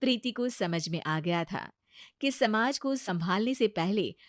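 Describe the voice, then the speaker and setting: A woman's voice: mid-range voice, animated, a little slow, no echo, clean studio sound. A woman reading a scripted story as its narrator.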